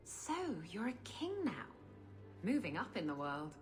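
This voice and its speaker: Teasing female rogue, lowish voice, animated intonation, faint music behind, game cutscene. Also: measured pace. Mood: sly, teasing. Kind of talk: video game cutscene acting.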